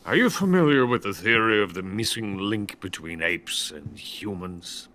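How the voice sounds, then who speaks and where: An actor playing a bitter, boastful older Soviet weapons scientist who is drunk and rambles theatrically in a video game. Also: male voice, on the low side, expressive, normal speed, bright, forceful tone, a little hiss or hum. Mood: grandiose enthusiasm. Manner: grandiose, self-pitying, theatrical rambling. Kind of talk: dramatic video game voice acting.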